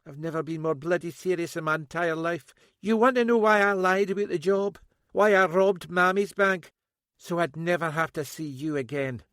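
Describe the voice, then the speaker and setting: A man's voice: a little high, fairly even intonation, moderate pace, a bit bright, smooth, no room sound, clean studio sound, voice pushed up, close to an everyday voice. A man voicing a bitter, scornful young Scottish woman who speaks in an accusing way. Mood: contemptuous.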